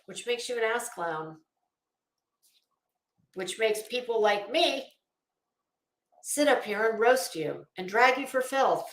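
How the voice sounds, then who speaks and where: A woman's voice: fairly high pitch, expressive, takes it slowly, long pauses, fairly bright tone, reverberant. A woman hosting an opinionated livestream, speaking to viewers in a blunt, scornful, emphatic manner and repeating phrases for weight. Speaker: livestream host.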